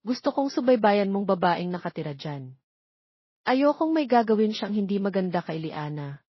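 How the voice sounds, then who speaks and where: A woman's voice: medium pitch, sing-song, normal speed, slightly rough, no room sound, dull audio. A woman voicing a cold, possessive young male billionaire boss in an audio drama, commanding and mixing English with Tagalog.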